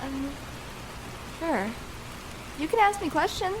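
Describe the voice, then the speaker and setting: High-voiced, hesitant young escort, acted by a woman. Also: lively intonation, heavily acted. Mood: shy, tender. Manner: hesitant, halting, soft-hearted. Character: shy first-time female escort, nervous, tender, vulnerable. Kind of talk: intimate ASMR roleplay acting. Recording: clear noise, rain sounds behind. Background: rain falling.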